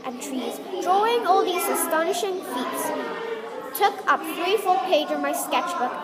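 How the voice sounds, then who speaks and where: A woman's voice: very high voice, animated, measured pace. A woman reading a children's story aloud. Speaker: book reader at a read-aloud event. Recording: busy crowd noise behind the voice.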